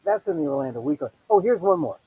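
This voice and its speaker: Husky, lively middle-aged host with a Filipino American accent, chatty solo talk-show monologue. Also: male voice, high-pitched, phone-quality sound. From United States. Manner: chatty, self-deprecating, quick asides and restarts. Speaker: podcast host. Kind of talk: casual solo monologue promoting his show.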